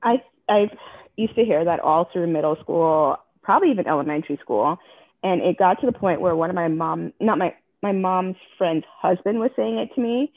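A woman from Jamaica speaking as an interview guest, talking earnestly and frankly in quick asides over a remote call. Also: fairly low pitch, dry recording. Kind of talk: candid personal interview. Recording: tinny phone-like line.

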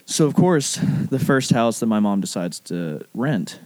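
A man from the rural American South telling a personal story casually, in animated, rambling speech full of profane slang. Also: lowish voice, a little slow, dry recording. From southern United States, rural Alabama.

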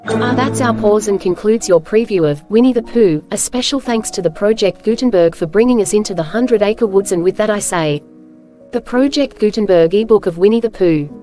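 Woman reading stories aloud, mellow voice, measured n clear, faint music under it. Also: medium pitch. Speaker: audiobook narrator and host. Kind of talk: scripted storybook narration.

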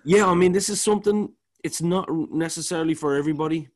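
A man with a baritone voice, talking casually as a podcast co-host and rambling, often repeating words while he searches for them. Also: fast talker. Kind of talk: casual music review chat.

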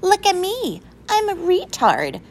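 A woman voicing a mean young schoolgirl bully in a storybook, taunting with drawn-out mimicry. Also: very high-pitched, big pitch swings, a bit bright, slight background noise, voice pushed up, full character voice. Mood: mocking.

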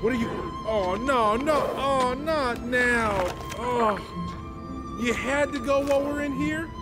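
A woman's voice: high voice, takes it slowly, loud music behind the voice. An actress playing an exasperated, scolding woman in a western adventure comedy audio drama. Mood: dismayed.

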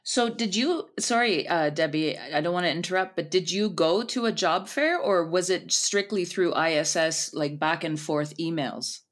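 Woman, podcast co-host, lowish pitch, eager, conversational. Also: normal speed, hardly any pauses. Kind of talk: casual podcast chat.

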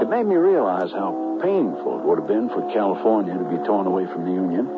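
An earnest man of the Old West waterfront telling his tale. His voice is low and moves expressively, in the style of 1970s radio drama. The sound is a dulled broadcast with loud music. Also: muffled recording.

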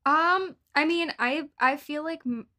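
A young woman with an American accent, co-hosting a casual podcast in informal, halting phrases sprinkled with "like". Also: sits high, pushed and bright. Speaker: podcast co-host. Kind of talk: casual political podcast chat.

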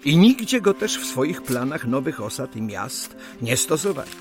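A man narrating a scripted radio play as a storyteller, with measured, deliberate phrasing and pauses. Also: mid-range voice, sing-song. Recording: music under the narration. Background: music.

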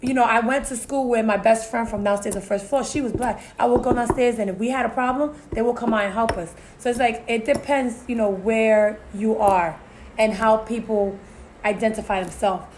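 Woman, Puerto Rican family, talkative, frequent fillers, fairly high voice, faint hiss. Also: fairly even intonation, normal speed, darkish tone, rough recording. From Puerto Rican family, US-raised. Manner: talkative, frequent "you know" and "so it's like". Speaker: podcast co-host. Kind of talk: casual discussion.

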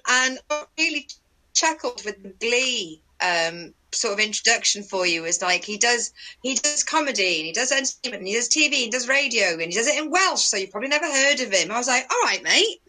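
A woman's voice: a little high, pushed and bright, poor audio. A woman hosting a casual online chat show in a jokey, sweary, theatrical manner. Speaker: podcast host.

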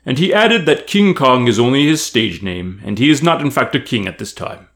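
A man's voice: mid-range voice, expressive, normal speed, a bit bright, voice pushed up. A man voicing a learned creature who dryly translates threats in a matter-of-fact way for a fantasy audio story.

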